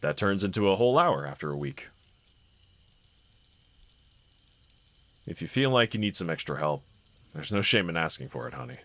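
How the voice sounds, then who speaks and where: A man playing a gentle, encouraging husband in an intimate scripted roleplay audio, speaking in a considerate, reassuring manner. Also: sits low, lively intonation, quick pace, gravelly, no room sound, heavily acted. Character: gentle, encouraging adult husband, calm and caring. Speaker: character audio voice actor.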